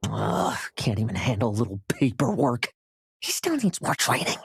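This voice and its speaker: A woman playing a sly, theatrical young female knight, a smooth-talking schemer in a video game. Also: extremely low pitch, wildly swooping pitch, normal speed, slight background noise, heavily acted. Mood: dismissive. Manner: theatrical, coaxing.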